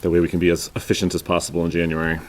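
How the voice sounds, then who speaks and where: A man chairing a school committee meeting in a meeting room, talking in a loud, joking, conversational way. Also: low-pitched, completely flat delivery, racing, a little hiss or hum. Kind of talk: running a public meeting.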